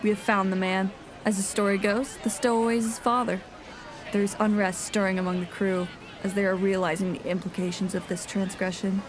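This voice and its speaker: A woman's voice: mid-range voice, little pitch movement, a little fast, noisy background, lightly acted. A woman playing a grave, haunted nineteenth-century sea captain reading a log in solemn, formal diction for an audio drama. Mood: grave, uneasy. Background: music.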